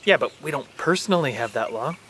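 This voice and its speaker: A man's voice: mid-range voice, expressive, fast talker, a bit bright, a little hiss or hum, close to an everyday voice. An actor playing an affectionate father and dedicated scientist in a naturalistic audio drama. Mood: reflective, warm.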